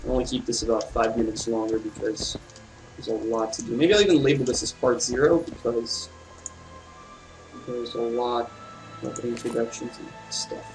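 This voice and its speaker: A man with a mid-pitched voice commentates casually on a video game. He rambles in an offhand way, with music playing behind him. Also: moderate pace, recorded from a distance, rough recording. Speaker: let's play gamer.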